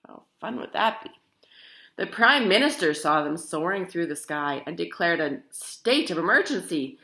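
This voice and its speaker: A woman reads a picture book aloud for children. Her voice is bright and very animated, and she is theatrical and emphatic, dropping in chatty asides. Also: lowish voice, normal speed, creaky. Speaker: storybook reader.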